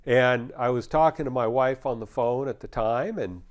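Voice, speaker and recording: An American man in his fifties working as a technical trainer, explaining a software demonstration step by step in a conversational way. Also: mid-range voice, moderate pace, lo-fi sound.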